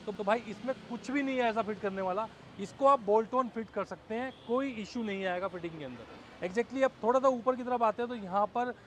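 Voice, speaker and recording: A man's voice: sits high, brisk, fairly bright tone. A man casually explaining a product to viewers as a car-kit maker, in a friendly explanatory way. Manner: explanatory, addresses viewers as brothers. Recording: faint outdoor traffic noise.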